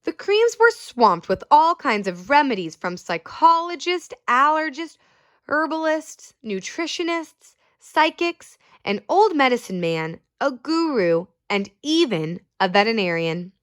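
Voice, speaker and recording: A woman reading a children's picture book aloud, pausing to ask her young listeners questions. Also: sits high. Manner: slow and expressive, asks listeners questions. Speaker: storyteller.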